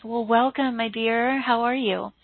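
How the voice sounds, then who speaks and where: A woman hosting a call-in show, speaking gently with frequent ums as she takes callers. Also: a little high, steady tone, a little slow, dry recording. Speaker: show host taking callers. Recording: dry phone-line audio.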